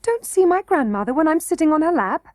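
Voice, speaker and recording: A high, bright little princess, earnest and tearful, as a woman reads her. She pleads eagerly in a lifted voice. The sound is close and dry. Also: expressive, moderate pace, no echo.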